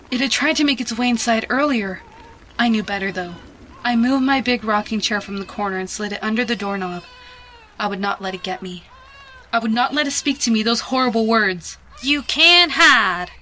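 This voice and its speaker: A woman giving a hushed, expressive, dramatic reading of a suspense story, with music behind her. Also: medium pitch, slight background noise, rough recording. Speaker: fan fiction reader.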